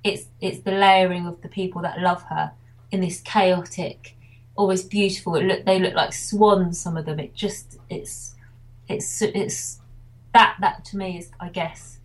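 A woman's voice: lowish voice, steady tone, unhurried, rough recording. English woman wedding photographer, chatty interviewee, rambling and restarting. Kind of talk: casual interview.

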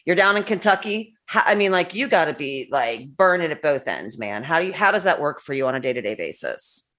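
A woman's voice: sits low, big pitch swings, fairly bright tone. A woman co-hosting a podcast, chatting casually and laughing often as she interviews a guest. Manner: chatty, quick laughs, loose phrasing. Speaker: podcast co-host.

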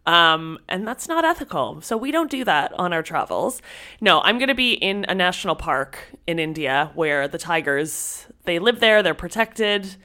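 A woman co-hosting a podcast in casual chat, a little stuffy and raspy from a cold, talking freely and restarting her sentences. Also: lowish voice, animated, normal speed, sharp tone. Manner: chatty, laughs often, restarts sentences. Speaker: podcast co-host.

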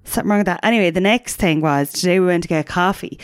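Young woman chatting on a podcast, lowish voice, giggly storyteller. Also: fast talker, no room sound, clean studio sound. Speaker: podcast co-host. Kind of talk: casual chat.

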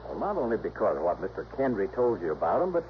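A man's voice: fairly low pitch, sing-song, quick pace. A man playing an exasperated, folksy small-town police sergeant in a 1960s radio drama, blustery and colloquial. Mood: insistent. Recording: dull old radio recording, faint noise.

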